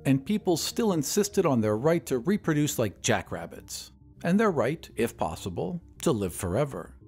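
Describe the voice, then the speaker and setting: A man voicing a huge, jovial, self-important male doctor in a booming, hearty manner for an audio drama. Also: sing-song, moderate pace, a little mellow, breathy edge, faint music behind. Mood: severe, lecturing.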